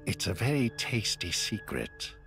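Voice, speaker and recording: Deep-voiced sly schemer. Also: male voice, very animated, measured pace, gravelly, a bit bright, heavily acted. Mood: conspiratorial. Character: sly, worldly man, knowing and smugly genial. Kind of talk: video game cutscene acting. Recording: music behind the voice.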